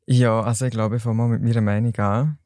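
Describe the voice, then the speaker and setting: A man's voice: mid-range voice, little pitch movement, fast, a little mellow, dry recording, studio quality. Easygoing young Swiss man. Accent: Swiss German. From Solothurn, Switzerland. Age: young adult. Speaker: podcast guest. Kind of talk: casual podcast chat.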